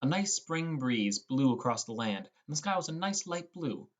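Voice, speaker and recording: A man's voice: on the high side, very animated, normal speed. Young man narrating a fantasy audiobook. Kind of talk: scripted first-person fantasy narration.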